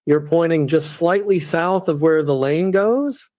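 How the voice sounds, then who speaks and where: A synthetic voice playing a methodical male lawyer working through a cross-examination step by step. His voice sits a little high and sounds dry and close, with no room around it. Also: measured pace, darkish tone, dry recording, lightly acted. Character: male attorney, methodical and patient. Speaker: defense attorney questioning a witness. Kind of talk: synthetic courtroom reenactment.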